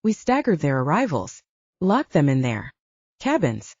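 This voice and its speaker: Woman narrating fiction with lively, swooping intonation. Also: low voice, a little slow, breathy edge, dry recording, dull audio. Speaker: audiobook narrator. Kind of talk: scripted first-person fiction narration.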